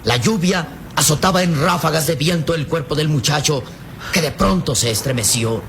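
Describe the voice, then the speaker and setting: High-pitched male radio serial narrator, solemn. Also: fairly bright tone, a little hiss or hum. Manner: solemn, suspenseful, measured.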